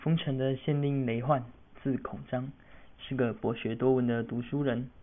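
A man's voice: medium pitch, steady tone, normal speed. Teenage male narrator, phone-like sound. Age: teens. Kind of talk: scripted storytelling narration for student radio drama.

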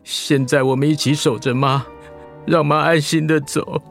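A man acting in a radio drama as a devoted younger brother, gentle and reassuring. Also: fairly high pitch, fairly even intonation, moderate pace, gravelly, soft background music. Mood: tender reassurance.

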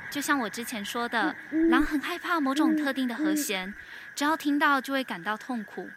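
High-pitched clever young adventurer played by a woman. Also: normal speed, background noise, close to an everyday voice. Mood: confident, eager. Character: clever eager young female adventurer, inventor of schemes. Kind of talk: Mandarin audio drama acting.

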